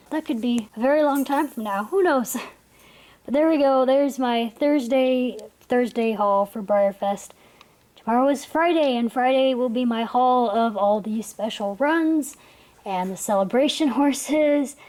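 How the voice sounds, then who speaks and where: A woman's voice: on the high side, a little mellow, rough recording. A tired young woman rambling and giggling through a casual haul video, her voice worn out. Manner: rambling, giggly, with frequent restarts. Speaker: vlogger.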